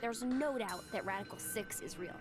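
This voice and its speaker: A woman's voice: medium pitch, normal speed, close to an everyday voice. A woman playing an earnest young woman in a dramatic video game, reasoning and pleading in a deadly situation. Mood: serious, reasoning. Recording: game music behind the voice.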